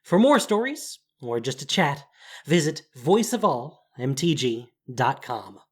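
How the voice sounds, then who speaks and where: A man narrating a fantasy story as scripted audio drama, in a dramatic, deliberate storytelling manner. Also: medium pitch, sing-song, breathy edge. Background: music.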